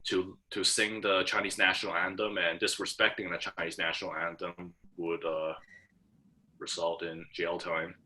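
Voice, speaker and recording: A young man from Hong Kong talking in a relaxed back-and-forth with his professor. His voice sits a little low but comes across bright and forceful. He stumbles through many restarts and fillers. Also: moderate pace. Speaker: student. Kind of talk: casual conversation.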